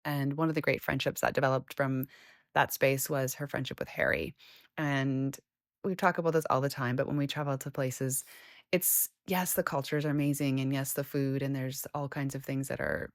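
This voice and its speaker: A woman's voice: very deep voice, steady tone, normal speed, no room sound, studio quality. A woman hosting a podcast over a video call, effusive and gushing, laughing often. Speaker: podcast host. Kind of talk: warm conversational interview.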